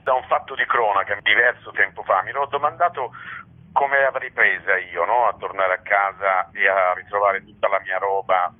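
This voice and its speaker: A man's voice: lowish voice, moderate pace. A man giving reflective, explanatory answers in a spontaneous interview, often checking in with a short tag question. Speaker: playwright and theatre director being interviewed. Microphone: telephone. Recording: muffled narrow-band telephone line.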